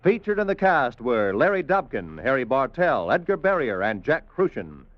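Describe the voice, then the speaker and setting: A man's voice: mid-range voice, big pitch swings, moderate pace, no echo, lo-fi sound. A man announcing an old-time radio drama in a formal, dramatic, scripted style.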